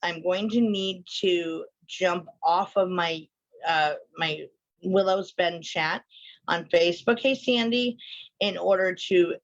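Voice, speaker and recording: A woman with a fairly low voice hosts a casual livestream. She chats informally to viewers and rambles from one aside to the next. She sounds congested and sniffly, and the audio is somewhat dull and lo-fi. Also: slow. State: sinusy, sniffly from allergy. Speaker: host of a live online show.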